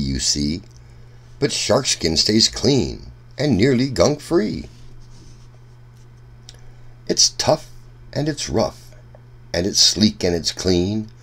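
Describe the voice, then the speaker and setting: A man reading a rhyming children's book aloud in a deliberate, sing-song storytelling manner. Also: on the low side, breathy edge.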